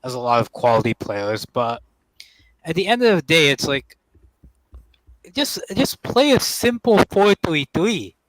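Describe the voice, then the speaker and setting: A young man talks football in a loose panel chat, stopping and restarting often with plenty of fillers. His voice sits a little high and the sound is dry and close. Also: very animated, a little slow, no room sound, poor audio. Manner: rambling, hesitant, many fillers and restarts.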